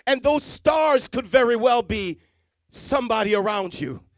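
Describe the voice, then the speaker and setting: Middle-aged preacher from Jamaica, high voice, dry close sound, handheld mic, dramatic hushed-to-loud delivery. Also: male voice, lively intonation, a little slow, no room sound. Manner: dramatic swings from hushed to loud, repetitive emphasis. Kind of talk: sermon. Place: church stage with Christmas decor, indoors. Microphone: handheld microphone.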